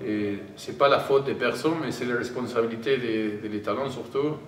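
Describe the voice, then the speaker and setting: A male rugby player from Argentina answers questions in halting French with a Spanish accent. His pitch is middling. The recording is roomy and distant, with some noise behind him. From Argentina (Tucumán, in the north). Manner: halting, searching for words in non-native French. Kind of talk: press conference answers. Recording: roomy, distant microphone, audible background noise.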